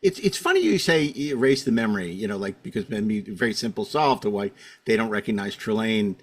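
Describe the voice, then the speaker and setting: A man's voice: on the low side, very animated, fairly quick. Opinionated man hosting a fan podcast. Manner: opinionated, bantering. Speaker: podcast host. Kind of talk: casual fan panel discussion.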